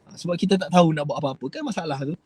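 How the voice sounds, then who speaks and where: Husky Malaysian man, rambling motivational talk. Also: fairly high pitch, racing, warm tone, no room sound. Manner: rambling, hesitant, asks viewers to comment. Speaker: host. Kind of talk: casual motivational talk to viewers.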